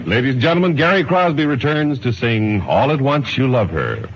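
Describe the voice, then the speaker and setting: A muffled 1950s radio broadcast with music clearly behind the voice. A male announcer introduces an act with a widely moving, animated pitch. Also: normal speed.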